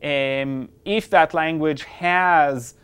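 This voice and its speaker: Clear-voiced man presenting a teaching segment. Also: fairly high pitch, drawn-out words, fairly bright tone. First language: Hebrew. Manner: upbeat, clear explanatory delivery to camera. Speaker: educational technology show host. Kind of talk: presenting an instructional tech segment. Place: studio with a virtual office backdrop. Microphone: lapel mic.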